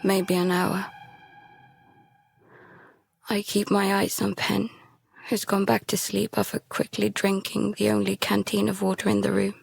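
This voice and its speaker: A woman playing a frightened, guilt-ridden youngster who narrates and speaks in an audio drama, halting and stammering. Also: mid-range voice, flat delivery, vocal fry, faint music behind. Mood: haunted, subdued. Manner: halting, stammering, breaking into whispers. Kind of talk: first-person audio drama narration with dialogue.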